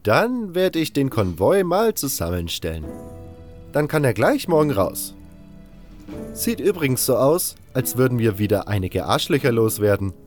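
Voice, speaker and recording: A man voicing a sardonic, rough-spoken camp organiser in a fantasy audiobook. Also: mid-range voice, sing-song, moderate pace, soft background music, voice pushed up, natural voice. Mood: resigned. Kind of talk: fantasy audiobook character dialogue.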